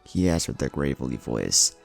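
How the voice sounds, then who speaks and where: A man's voice: rumbling low, sing-song, normal speed, vocal fry. A man narrating a scripted dramatic story as the host of a story channel. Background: faint music.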